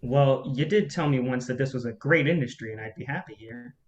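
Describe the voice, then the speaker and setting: A man playing a young film producer's assistant in a staged play reading. His voice sits at a middling pitch, and his delivery is dry, understated and needling. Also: very animated, brisk, dull audio.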